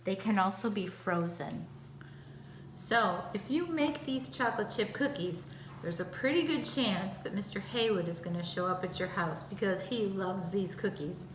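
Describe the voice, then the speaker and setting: A woman's voice: medium pitch, normal speed, low-quality recording. American woman teaching a recipe over background noise. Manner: simple, patient explaining in plain steps. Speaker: teacher giving a cooking demonstration. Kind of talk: step-by-step recipe instruction.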